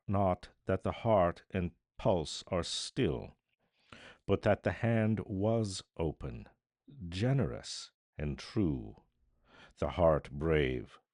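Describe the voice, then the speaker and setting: Deep, creaky male storyteller, slow and dramatic. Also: a little mellow, no echo, clean studio sound. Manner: theatrical, deliberate, solemn. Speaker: audiobook narrator. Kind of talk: dramatic literary narration.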